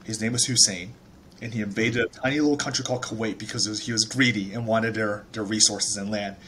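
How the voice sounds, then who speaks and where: A man's voice: lowish voice, fairly even intonation, fast, fairly bright tone, slight background noise. A man in his thirties from Taiwan, a startup founder telling his life story in a casual interview, chatty with many fillers and restarts.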